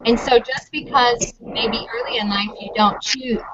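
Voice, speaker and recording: A woman's voice: fairly high pitch, fairly even intonation, measured pace. A middle-aged American woman, a university professor, answering questions in a friendly, chatty way with frequent ums. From United States. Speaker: university professor of teaching methods. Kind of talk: casual interview answers. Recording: dull lo-fi audio with clear noise.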